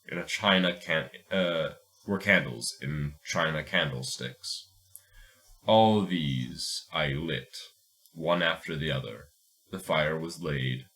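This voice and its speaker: A man's voice: rumbling low, fairly bright tone. A man co-hosting a podcast, moving between casual chat and dramatic reading of a story.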